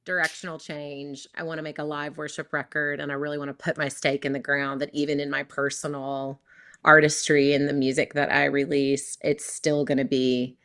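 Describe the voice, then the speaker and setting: A woman's voice: deep voice, level tone, normal speed, a bit bright. A woman in her thirties, a worship leader and recording artist, talking earnestly in a casual interview, rambling with fillers and restarts.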